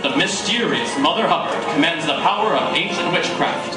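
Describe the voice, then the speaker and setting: A man's voice: a little high, lively intonation, normal speed. Guy doing big dramatic 1940s radio narration, voice swoops around, really echoey, music behind. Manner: grandiose, dramatic. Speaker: announcer and narrator. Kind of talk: theatrical 1940s radio announcing, scripted narration. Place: live performance in a coffee house. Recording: echoey room from far off, music.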